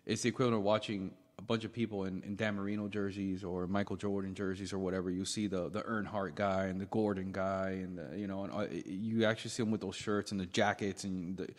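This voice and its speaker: Lowish-voiced American man, reverberant casual chat. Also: little pitch movement, quick pace, hardly any pauses. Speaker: podcast co-host. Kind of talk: casual banter and opinion. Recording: echoey room with long reverb.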